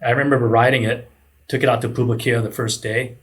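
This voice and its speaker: A man in his sixties from Hawaii speaks with an average-pitched voice. He tells his stories as an interview guest, rambling a little and poking fun at himself in a conversational way. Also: level tone, fast. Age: 60s. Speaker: surfboard shaper, podcast guest.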